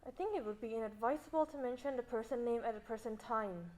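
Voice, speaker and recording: A young woman acting a formal, shrewd, composed judge with a measured, pompous manner in a student stage play. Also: fairly high pitch, darkish tone, lightly acted. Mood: composed, knowing. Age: young adult.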